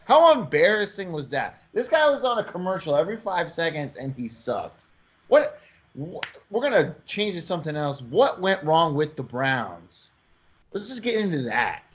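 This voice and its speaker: A man's voice: fairly high pitch, big pitch swings, normal speed. A man hosting a sports talk show, giving opinionated takes in an informal, emphatic way.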